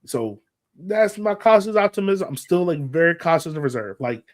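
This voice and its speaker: A man's voice: a little high, expressive, moderate pace. A man co-hosting a sports podcast in casual fan chat, talking emphatically and repeating phrases to drive points home.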